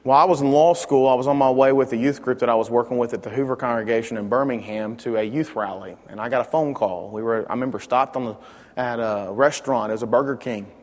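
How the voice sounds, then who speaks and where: A man teaches a Bible lesson in a church classroom, speaking loudly and emphatically into a microphone and often restarting his words. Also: mid-range voice, fast, in a large hall.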